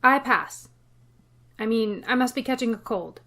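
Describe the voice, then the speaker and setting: A woman's voice: fairly high pitch, measured pace, fairly bright tone. A woman playing a wisecracking schoolteacher with a dry, sardonic manner in a 1950s-style radio comedy. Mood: bluffing. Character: wry, wisecracking female schoolteacher heroine.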